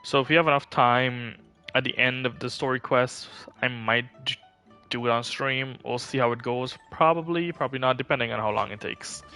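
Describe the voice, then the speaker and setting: A man's voice: mid-range voice, moderate pace, pushed and bright, clear, resonant tone, soft background music. A man casually chatting on a game livestream, rambling and correcting himself as he goes. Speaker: game streamer.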